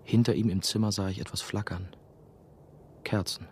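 A man's voice: lowish voice, steady tone, normal speed, a little mellow, slight background noise, close to an everyday voice. An actor playing a brooding former novice who narrates his story in a reflective radio drama style. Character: brooding male ex-novice, nursing-home worker, telling his story. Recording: music behind the voice.

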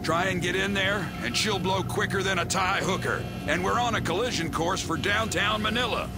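An actor playing a bossy, self-sacrificing Navy ship captain in a military action game, with a gruff, salty, commanding delivery. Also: male voice, high voice, normal speed, close to an everyday voice. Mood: grim, urgent. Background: loud music, gunfire.

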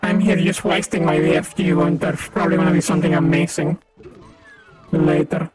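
A man's voice: on the high side, little pitch movement, brisk, rough recording. A man with a Venezuelan accent from Maracaibo, a native Spanish speaker, chatting casually while streaming a shooter game with a friend, laughing often and calling out enemies. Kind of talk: casual co-op gaming chat. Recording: game music playing loudly behind. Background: game music.

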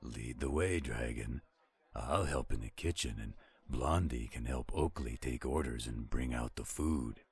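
A man's voice: very deep voice, a little slow, slightly rough, heavily acted. An actor playing a laid-back, teasing male werewolf with an easygoing drawl in an audio roleplay.

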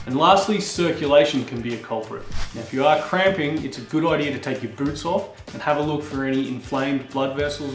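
Man in his thirties, casual upbeat host, roomy small-room sound, faint music underneath. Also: mid-range voice. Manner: casual, energetic, direct address to camera. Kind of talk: upbeat instructional vlog. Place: small indoor room with skis on wall.

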